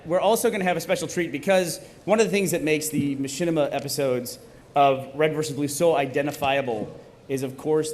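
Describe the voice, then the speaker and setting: A man hosting a live stage event, bantering with the audience in a playful, teasing manner. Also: a little high. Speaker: host of a live table read. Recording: live stage PA with clear background noise.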